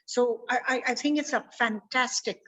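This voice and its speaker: A woman with a notably high voice leads a community video call. She offers her views in a measured, deliberate way. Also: a bit bright. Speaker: moderator.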